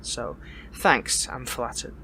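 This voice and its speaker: A man playing a bitter, defiant captured superhero in an audio roleplay, speaking in a strained, halting, taunting way. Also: medium pitch, expressive, creaky, background noise. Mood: bitter, sarcastic. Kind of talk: dramatic audio roleplay monologue.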